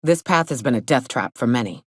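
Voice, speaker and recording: An actress voicing a brash, hard-drinking big game hunter in a video game, with folksy, slangy, sardonic talk. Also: female voice, extremely low pitch, expressive, fairly quick, breathy edge, no room sound, clean studio sound, exaggerated voice. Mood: wry, guarded. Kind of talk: video game character dialogue.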